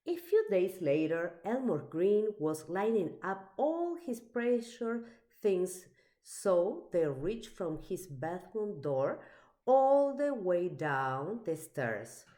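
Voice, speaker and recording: Animated woman reading a children's story slowly. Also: on the low side. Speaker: storybook reader. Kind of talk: expressive read-aloud of a children's picture book.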